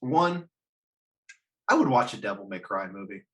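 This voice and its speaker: A man talks casually on a panel with a bright, sharp-edged voice. His pitch swings widely, and his delivery is jokey and halting, with casual swearing. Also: very animated. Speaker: podcast panelist. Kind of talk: casual chat.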